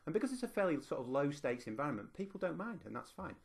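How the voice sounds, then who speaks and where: A man's voice: on the high side, sing-song, fast, gravelly, slightly rough. Northern English man presenting to teachers. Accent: Lancashire. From northwest England. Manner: conversational, self-deprecating, frequent ums and restarts. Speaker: university lecturer and teacher. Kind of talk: online conference presentation.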